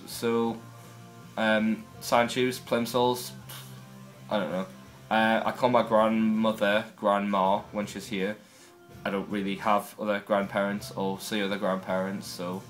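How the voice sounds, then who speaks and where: A man's voice: lowish voice, level tone, a little slow, soft background music. Chatty young Geordie man, wry asides. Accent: Newcastle Geordie. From North East England. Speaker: vlogger. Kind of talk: casual first video blog.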